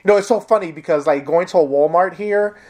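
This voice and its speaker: A woman with a notably low voice hosts a relaxed conversation. She speaks candidly and bluntly, swearing without hesitation. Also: fast. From big city. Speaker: host. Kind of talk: casual conversation.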